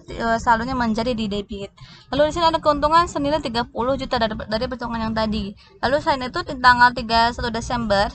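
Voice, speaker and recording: Woman, high voice, lecturer explaining worked examples, halting delivery, light background noise. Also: a bit bright. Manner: halting, restarts words mid-way. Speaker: accounting lecturer. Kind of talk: explanatory lesson walking through worked examples.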